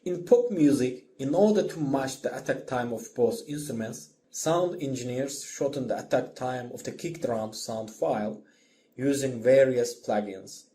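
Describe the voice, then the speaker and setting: Male instructor for tutorial narration, mid-pitched and mellow, simple explanatory delivery, faint noise on the recording. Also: a little slow. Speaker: music instructor. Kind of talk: scripted lesson narration.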